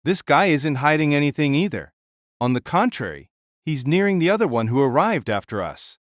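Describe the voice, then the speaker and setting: A man's voice: a little high, big pitch swings, normal speed, dry recording, clean studio sound. A man playing a fearless, hearty ancient warrior-king in an audio drama, speaking bluntly and grandly. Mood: eager, commanding.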